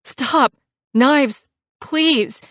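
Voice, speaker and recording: A defiant, distraught woman acted by a female narrator in an audiobook. Her raised voice protests with strong emotion, climbing above a calm talking pitch, in a very dry recording. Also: very slow, dry recording, heavily acted. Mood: panicked.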